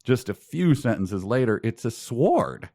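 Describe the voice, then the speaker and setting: A man's voice: mid-range voice, big pitch swings, measured pace, mellow, no room sound, clean studio sound. A man co-hosting a podcast in casual chat about a book, with an amused, mock-puzzled and emphatic manner. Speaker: podcast co-host.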